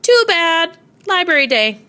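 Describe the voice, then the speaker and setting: Woman as a mild, earnest storybook animal fellow, lively. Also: very high voice, unhurried, pitched above the performer's own voice, exaggerated voice. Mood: matter-of-fact.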